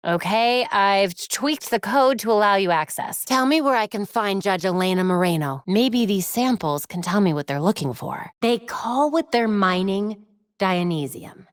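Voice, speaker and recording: A woman acts out a young American masked heroine for a video game. She is quippy, determined and caring, with a lively, wide-ranging pitch. The recording is dry and close, with no room around it. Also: moderate pace, dry recording, lightly acted. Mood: focused, investigative. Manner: wry, quippy, expressive. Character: young female masked vigilante hacker, wry, determined, caring.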